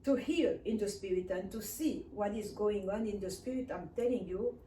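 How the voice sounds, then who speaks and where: A woman's voice: mid-range voice, moderate pace, dark tone. Earnest woman minister, echoey room. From Africa. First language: Swahili. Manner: earnest and emphatic, repeating phrases. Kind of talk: personal testimony sermon. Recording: echoey room, distant mic, faint hiss.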